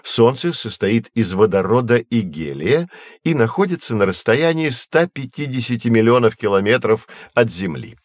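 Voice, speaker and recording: An actor playing a learned, patient astronomy professor who explains things in a measured, didactic way in an educational audio drama. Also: male voice, mid-range voice, sing-song, dry recording, studio quality. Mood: patient explaining. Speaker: teacher.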